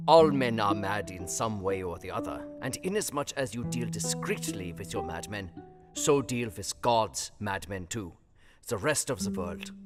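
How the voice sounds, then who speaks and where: An actor playing a wise older professor in an audio drama, kindly and grave, with emphatic, halting counsel in foreign phrasing. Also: male voice, lowish voice. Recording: long reverb, music behind. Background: guitar music.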